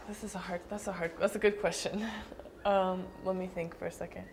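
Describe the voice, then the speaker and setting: A young woman dancer answering interview questions thoughtfully, with frequent pauses and restarts. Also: fairly low pitch, fairly even intonation, noisy background. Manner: thoughtful, hesitant with fillers and restarts.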